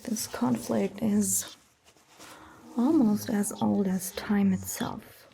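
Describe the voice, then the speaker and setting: Woman doing calm vampire doctor lady asmr, soft breathy whisper, mellow, bit of background hiss. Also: medium pitch. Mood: calm, measured. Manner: slow, gentle, measured phrasing. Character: calm, attentive female vampire doctor recounting history. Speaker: ASMR performer. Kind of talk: soft-spoken whispered ASMR roleplay, storytelling.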